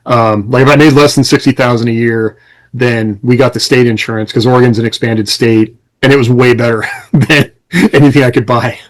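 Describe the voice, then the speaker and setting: A man's voice: medium pitch, steady tone, fairly quick, low-quality recording. A man co-hosting a podcast, explaining things informally in a casual chat. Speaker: podcast co-host.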